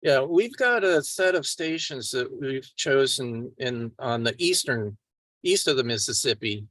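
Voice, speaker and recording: A man contributing to a group teleconference in a halting, hesitant way. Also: medium pitch, expressive, fairly bright tone, dry recording. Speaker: meeting participant. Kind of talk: discussion on an online group call. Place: remote teleconference.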